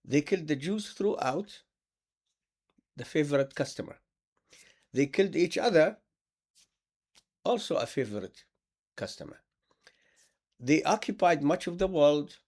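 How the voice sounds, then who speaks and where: A man's voice: a little high, animated, unhurried, pauses often, a little mellow. A man from Palestine, an Islamic preacher delivering an impassioned, sarcastic monologue that repeats words for emphasis.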